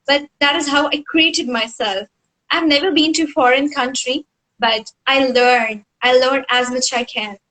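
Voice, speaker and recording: A woman's voice: high voice, steady tone, unhurried, fairly bright tone, low-quality recording. A woman in her twenties with an Indian English accent, a native Hindi speaker, talking earnestly as an interview guest and often saying "you know". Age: 20s. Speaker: guest professor. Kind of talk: casual interview.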